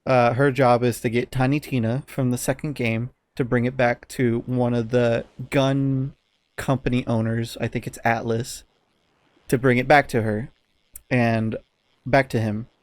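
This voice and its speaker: A man's voice: mid-range voice, little pitch movement, unhurried, no room sound. A man co-hosting a casual podcast, giving blunt opinions with some swearing and often searching for words. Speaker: podcast co-host.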